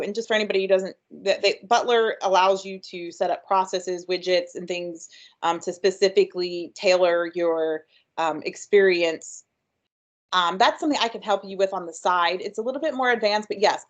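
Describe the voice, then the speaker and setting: A woman giving an upbeat, chatty webinar presentation with frequent ums. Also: mid-range voice. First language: English. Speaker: workshop presenter.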